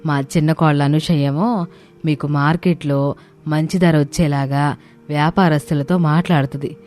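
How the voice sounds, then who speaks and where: A kindly older mother-in-law from a village tale, put on by a woman. She speaks encouragingly in a low, dark-toned voice over music. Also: steady tone, moderate pace, close to an everyday voice. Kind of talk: storybook dialogue.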